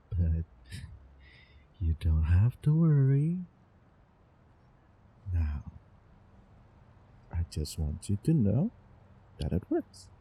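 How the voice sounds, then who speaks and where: A man playing a doting, obsessive young student from the future in a halting, intimate audio drama monologue with nervous chuckles. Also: mid-range voice, slightly rough, narrow, tinny audio, exaggerated voice. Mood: soothing, urgent. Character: doting, obsessive male time-traveling student in love. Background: soft background ambience.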